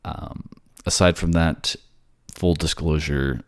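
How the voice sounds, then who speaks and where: A man who works as a voice actor, speaking in a soft-spoken narrator's style. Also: extremely low pitch, fairly even intonation, takes it slowly, no room sound, studio quality. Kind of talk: soft-spoken story narration and casual outro.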